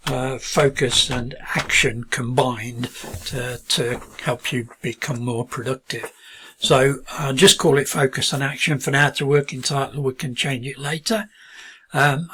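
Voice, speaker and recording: A middle-aged British man narrates a screen-recorded software tutorial. He thinks aloud, pausing and restarting often. His voice is bright and forward, with a husky edge and a middling pitch. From United Kingdom. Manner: relaxed, halting, thinks aloud with many ums and restarts.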